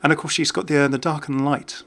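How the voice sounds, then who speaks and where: A man's voice: on the high side, steady tone, fast, a bit bright. Chatty man doing gaming commentary. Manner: chatty, jokey. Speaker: gaming video host.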